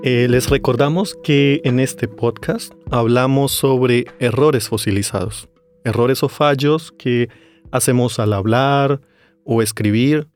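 A man co-hosting a language podcast, explaining things clearly for learners. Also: mid-range voice, slow pace, a little mellow, soft background music. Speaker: podcast co-host, language teacher. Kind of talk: casual podcast chat.

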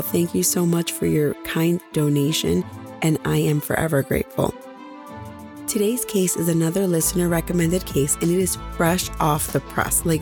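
A woman hosting a true crime podcast, hoarse after nearly losing her voice, chatting directly with her listeners. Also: low voice, darkish tone. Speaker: podcast host.

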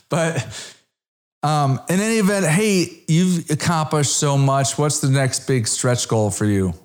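Podcast host, male, early fifties, average pitch, casual and conversational interviewing. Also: slow pace.

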